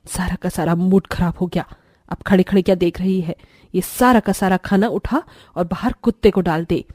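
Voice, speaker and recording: Haughty, dark-voiced mother-in-law played by a woman. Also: lowish voice, steady tone, normal speed, no echo, clean studio sound, close to an everyday voice. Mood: scornful, annoyed. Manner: bossy, scornful. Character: haughty, scolding rich mother-in-law, wasteful, middle-aged.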